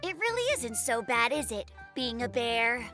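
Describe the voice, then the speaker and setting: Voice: mid-range voice, lively intonation, moderate pace, sharp tone. A performer voicing a doubtful, earnest little boy polar bear in a children's cartoon. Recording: music behind the voice. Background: children's cartoon music.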